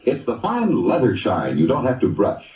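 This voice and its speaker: Lively vintage ad announcer, muffled sound. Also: male voice, mid-range voice, normal speed, recorded from a distance. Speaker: commercial announcer. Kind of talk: vintage radio advertising pitch. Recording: muffled, roomy old radio recording.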